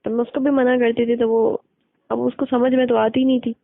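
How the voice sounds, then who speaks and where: Creaky-voiced young woman on the phone. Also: fairly high pitch, flat delivery, fairly quick, no echo. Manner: colloquial, blunt, quotes people as she retells. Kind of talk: casual chat, recounting school-days gossip. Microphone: phone line. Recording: narrow dry phone-call recording.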